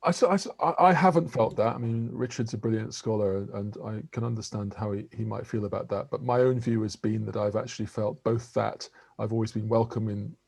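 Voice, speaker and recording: Older professor guy in his 60s, quick talker, kinda husky, mellow tone. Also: fairly low pitch, steady tone, no echo. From England. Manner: articulate, measured, restarts words. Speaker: interviewed professor. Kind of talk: academic interview answers.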